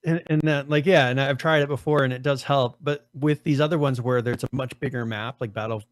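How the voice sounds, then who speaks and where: A man co-hosting a casual gaming podcast, losing his voice, his throat strained and cracking on high notes, rambling with many restarts. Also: fairly even intonation, fairly quick, no echo, studio quality. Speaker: podcast co-host.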